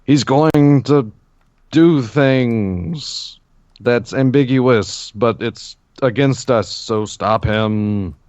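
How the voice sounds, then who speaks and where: A man's voice: mid-range voice, drawn-out words, a little mellow, dry recording, muffled recording. A man co-hosting a casual gaming podcast, rambling with many fillers and restarts. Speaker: podcast co-host.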